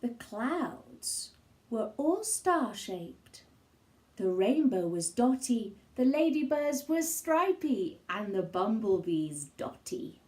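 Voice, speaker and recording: A high-pitched woman reads a children's story in verse with great deliberation. Her delivery is theatrical and expressive, each phrase given its own space, and the room around her voice is echoey. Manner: theatrical, slow and deliberate, with gasps and asides. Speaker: storybook reader. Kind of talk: expressive children's read-aloud of rhyming picture book.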